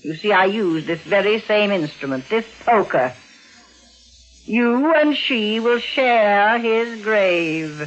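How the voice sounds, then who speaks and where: A woman's voice: mid-range voice, expressive, takes it slowly, faint music behind. An obsessive, guarded aunt who hides murder behind composure. Her intonation swings widely in the manner of 1940s radio drama. The vintage recording is dull and muffled. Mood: cold menace. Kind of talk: 1940s radio mystery acting.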